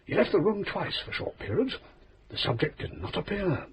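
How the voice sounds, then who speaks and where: A fussy, long-winded inquiry agent in an old radio drama. His voice sits a little high and swings widely as he reports in stiff formal phrases, with a faint hiss behind. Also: very animated, normal speed. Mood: pompous. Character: fussy pompous male private inquiry agent.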